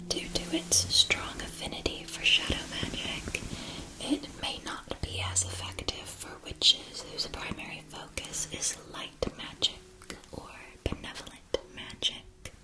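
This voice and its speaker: A woman performing a scripted ASMR fantasy roleplay as a wand seller, speaking softly and deliberately with formal shopkeeper patter. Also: low-quality recording.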